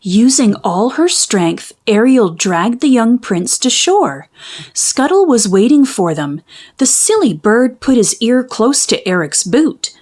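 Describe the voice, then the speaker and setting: A woman reading a children's story aloud in expressive, dramatic, carefully phrased narration. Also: a little high, slow pace. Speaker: storybook reader.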